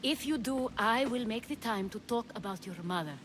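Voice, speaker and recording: A woman playing an elegant, worried, commanding Athenian noblewoman in a video game, measured and grave. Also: a little high, expressive, fairly bright tone, slight background noise. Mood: worried, urgent. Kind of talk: video game cutscene acting.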